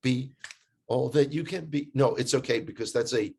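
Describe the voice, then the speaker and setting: A man's voice: medium pitch, normal speed, vocal fry, a little mellow, husky. A man with an American accent telling stories in a casual, conversational way, emphatic and repeating phrases for effect. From United States. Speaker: guest, tour guide living in Israel.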